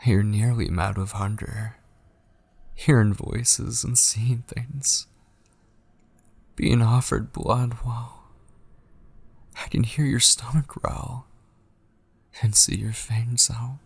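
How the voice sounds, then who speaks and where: Male actor as obsessive kidnapper, ASMR roleplay, very slow delivery, seductive menace, pleading, breathy whispers, gravelly fry, close and dry. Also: mid-range voice, fairly even intonation, no echo, full character voice. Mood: frustrated, pleading. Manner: slow, coaxing, slipping often into breathy whispers. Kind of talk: ASMR audio roleplay acting.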